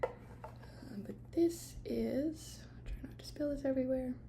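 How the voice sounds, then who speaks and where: A woman doing an ASMR shop roleplay as an attendant, whispering gentle explanations in a hesitant, unscripted way. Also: sits high, slow, gravelly. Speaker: ASMR creator playing a tea shop attendant.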